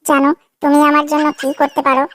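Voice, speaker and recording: Woman acting high-pitched whiny cartoon girlfriend. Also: completely flat delivery, fast talker, a bit bright, slight background noise. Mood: coy, demanding. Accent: Bengali. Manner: coy, pleading, repeats herself. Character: whiny, demanding young girlfriend, coy and easily offended. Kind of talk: comedy cartoon dialogue.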